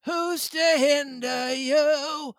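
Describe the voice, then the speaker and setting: A woman reading aloud and voicing a half-crazed old male castaway pirate, drawling in old sailor's dialect and shouting. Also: high voice, fairly even intonation, drawn-out words, creaky, no room sound, clean studio sound, voice pushed up, full character voice. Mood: excited. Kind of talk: storybook read-aloud.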